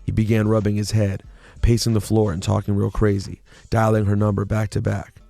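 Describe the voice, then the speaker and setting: Guy doing a sultry overdramatic lady reading her affair memoir, low creaky voice, music behind. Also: monotone, fairly quick, warm tone, dry recording. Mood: suspenseful. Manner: theatrical, overdramatic delivery.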